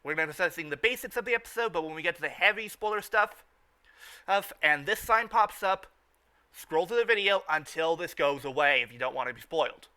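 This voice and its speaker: A man with a fairly high, very bright and forceful voice gives informal fan commentary. He speaks haltingly, doubling back to repeat words and phrases as he recaps. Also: animated. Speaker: review show host.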